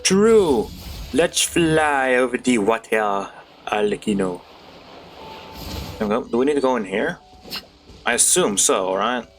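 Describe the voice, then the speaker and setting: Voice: mid-range voice, expressive, unhurried, pauses often, slight background noise. A game streamer giving casual, rambling gameplay commentary with playful sing-song asides, music behind.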